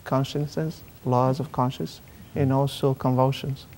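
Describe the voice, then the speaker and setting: Man from Spain, mellow voice, measured halting explanation, faint rumble behind. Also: level tone. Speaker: neurosurgeon guest. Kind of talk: TV interview, explaining.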